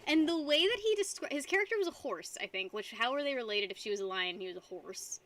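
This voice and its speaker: A woman's voice: a little high, very animated, quick pace, a bit bright, a little hiss or hum. A young woman streaming live, chatting casually with her viewers and rambling between frequent giggles. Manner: giggly, rambling, reads chat aloud.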